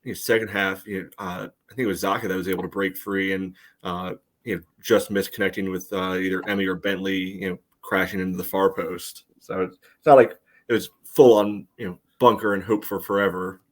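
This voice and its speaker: A man co-hosting a sports podcast, giving casual commentary in a halting, filler-heavy way. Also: sits low, level tone. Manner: halting, peppered with "you know". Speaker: podcast co-host.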